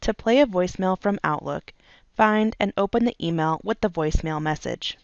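A woman reading scripted instructional narration as a tutorial narrator, in a measured, step-by-step way. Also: on the low side, no echo. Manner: clear, measured step-by-step delivery.

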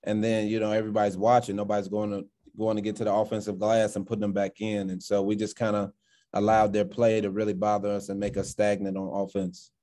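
A basketball coach in his thirties speaks over a video call after a game, his voice low and dark in tone. He delivers his answers in a flat, even line, pausing often with fillers and repeated words as he works through each thought. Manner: measured, halting, many fillers and restarts. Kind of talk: post-game press conference answers. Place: indoors in front of a sponsor backdrop. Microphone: video call, framed at desk distance.

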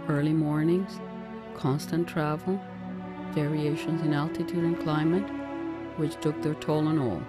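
A narrator giving a measured, formal documentary voice-over. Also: mid-range voice. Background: orchestral music.

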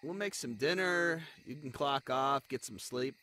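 A man voicing a young spider adventurer and secret lost prince in a fantasy audio roleplay, snarky, anxious and haltingly flustered. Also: medium pitch, bright, forceful tone, slight background noise. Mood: easygoing, chatty. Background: crickets, night forest ambience.

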